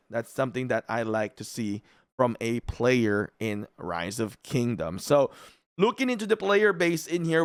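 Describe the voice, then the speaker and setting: A man's voice: mid-range voice, dry recording, studio quality. A man from the Philippines, whose first language is Filipino, giving casual, rambling commentary on a strategy game as a channel host. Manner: chatty, rambling, laid-back. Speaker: gaming YouTuber. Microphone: studio mic in a dry room.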